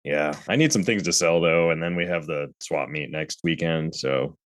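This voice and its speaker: A man co-hosting a casual podcast while sick, relaxed and quick to laugh. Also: rumbling low. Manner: laid-back, laughs easily. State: sick, congested. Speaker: podcast co-host. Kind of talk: casual chat.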